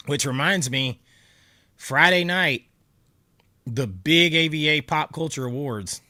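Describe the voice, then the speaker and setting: A man's voice: a little high, animated, takes it slowly, long pauses, bright, forceful tone, dry recording, studio quality. Stuffy-nosed man chatting with viewers. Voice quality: nasal from congestion. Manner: chatty, laughs often, answers viewer comments. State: stuffy nose, sore throat. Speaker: livestream host. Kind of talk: casual live chat with viewers.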